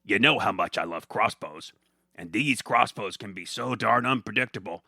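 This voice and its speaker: A man voicing an eccentric, sheepishly apologetic townsman in a comic audio story, calling out loudly. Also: lowish voice, moderate pace, creaky, no echo, studio quality, heavily acted. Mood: sheepish apology.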